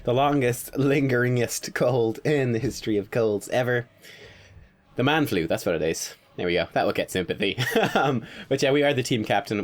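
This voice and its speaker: Sniffly man commentating a game draft. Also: lowish voice. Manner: chatty, analytical, with little laughs. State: stuffed-up nose from a cold. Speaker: gaming commentator.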